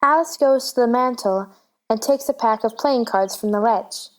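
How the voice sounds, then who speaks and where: A woman's voice: fairly high pitch, normal speed. A woman reading stage directions as scripted narration for a play.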